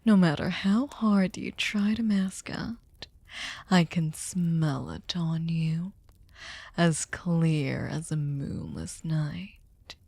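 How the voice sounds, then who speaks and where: A woman playing a sadistic, seductive vampire mistress in an ASMR roleplay, commanding and condescendingly tender, breathy and often whispering. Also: deep voice, drawn-out words, a little mellow, no room sound, exaggerated voice. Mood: predatory, seductive. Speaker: ASMR voice performer. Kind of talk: ASMR roleplay, slow intimate monologue. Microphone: close studio microphone, dry.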